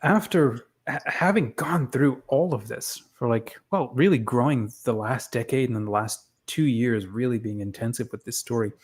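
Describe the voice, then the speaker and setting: A woman interviewing in an informal, conversational way, attentive and a little halting as she frames her questions. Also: rumbling low. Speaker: podcast interviewer.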